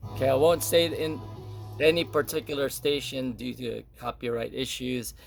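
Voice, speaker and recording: A man's voice: medium pitch, little pitch movement, normal speed, gravelly, over music. A man giving a casual, unscripted product demonstration, explaining step by step in a hesitant way.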